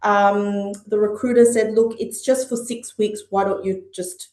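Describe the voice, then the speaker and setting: A woman's voice: mid-range voice, fairly even intonation, unhurried, a little mellow. A middle-aged woman from Malaysia and Hong Kong, a podcast guest speaking thoughtfully with frequent ums and uhs. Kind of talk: conversational interview. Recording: echoey room.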